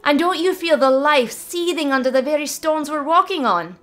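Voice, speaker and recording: A woman voicing a mischievous, bouncy young female druid in a storybook reading, talking high, loud and excitably. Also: normal speed. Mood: enthusing.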